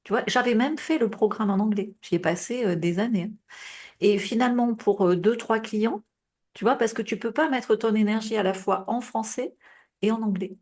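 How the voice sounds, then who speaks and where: A woman's voice: on the high side, normal speed, darkish tone. French woman coaching casually, full of fillers. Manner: casual, advising, many fillers and tu vois. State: coughing, voice tiring. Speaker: business coach. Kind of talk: group coaching call.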